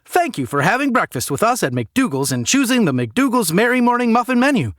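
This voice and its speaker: A man acts a relentlessly cheerful fast-food clerk from an audio story. The voice is pitched high and swings in a singsong, with every polite scripted word over-enunciated. The sound is close and dry. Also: very animated, fairly bright tone, no room sound, exaggerated voice. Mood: forced cheer. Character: relentlessly chirpy fast-food drive-through clerk reciting corporate script.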